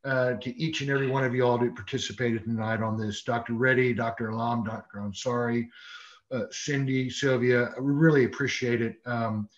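A man's voice: medium pitch, fairly even intonation, normal speed. An older man moderating a panel on a video call, friendly and folksy. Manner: friendly, folksy, uses y'all. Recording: dull lo-fi video call audio.

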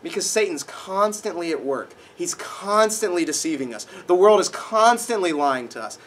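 A young man preaching a sermon in a dark auditorium, a little nasal from a cold, animated and acting out voices in his stories. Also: high-pitched, moderate pace. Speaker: pastor. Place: dark auditorium with projected screen.

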